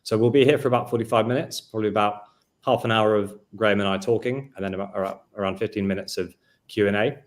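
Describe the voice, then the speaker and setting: A man in his thirties hosting a business webinar over a video call, speaking in a measured way with frequent fillers. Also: lowish voice, fairly even intonation. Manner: measured presenter, hedging with fillers. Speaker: webinar host.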